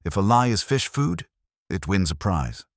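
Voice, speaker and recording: A man's voice: deep voice, sing-song, normal speed, no room sound, pitched above the performer's own voice, heavily acted. A man voicing a wry, wisecracking detective's assistant with a dry, clipped manner in a mystery audiobook.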